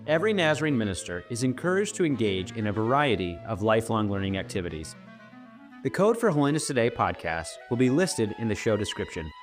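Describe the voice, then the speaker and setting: A man's voice: on the low side, very animated, a little fast. A man reading a scripted promotional announcement for a podcast. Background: guitar music.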